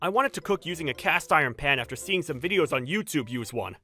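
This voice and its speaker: A woman voicing an earnest, protective young husband in a manga dub, emphatic and quick to indignation. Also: low-pitched, expressive, sharp tone, faint music behind. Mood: eager.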